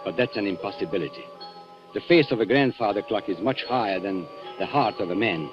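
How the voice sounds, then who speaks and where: An actor playing a suave, coolly calculating antique dealer who kills, smooth, mock-polite and theatrical, in a 1940s radio thriller. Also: male voice, lowish voice, animated, fairly quick, lightly acted. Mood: grave, confessional. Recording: dull 1940s radio recording with music.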